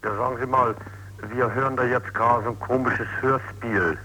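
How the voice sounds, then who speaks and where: A man's voice: mid-range voice, fairly even intonation, normal speed, sharp tone, natural voice. A man as an indignant, coarse radio listener complaining in an old phone call. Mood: irritated. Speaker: angry listener phoning the radio station. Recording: harsh, thin old phone-call recording, faint noise.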